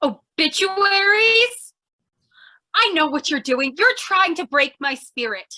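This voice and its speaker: A woman's voice: extremely high pitch, expressive, takes it slowly, bright, forceful tone, full character voice. A woman playing a feisty, impatient young would-be reporter in an old-time radio comedy, insistent and theatrical. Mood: indignant. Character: feisty, pushy young female aspiring reporter, impatient fiancée.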